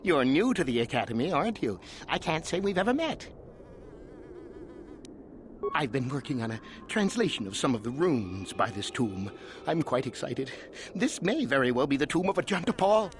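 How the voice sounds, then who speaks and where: Man acting an eager, animated Sith scholar. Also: medium pitch, moderate pace, noisy background, natural voice. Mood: excited, friendly. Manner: enthusiastic, scholarly, chatty. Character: eager male Sith scholar studying ancient tombs. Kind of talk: video game dialogue acting.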